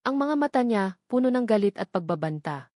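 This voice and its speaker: A woman's voice: medium pitch, animated, fairly quick, warm tone, dry recording, clean studio sound. A woman telling a first-person dramatic love story in an earnest storyteller's voice.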